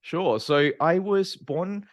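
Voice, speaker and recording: A man in his thirties from Malaysia, a scientist guest explaining his career in a measured way with frequent "um" and "you know". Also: on the high side, no echo, clean studio sound. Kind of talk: interview, recounting his career.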